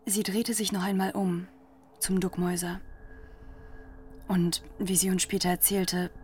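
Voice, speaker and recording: A mid-range female voice of an actress recalls her youth in a gang. The narration is measured, grave and literary, as in a radio play, with faint music underneath. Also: medium pitch, level tone, a little mellow, close to an everyday voice. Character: former gang member gravely recalling the story. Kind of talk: dramatic first-person radio drama narration.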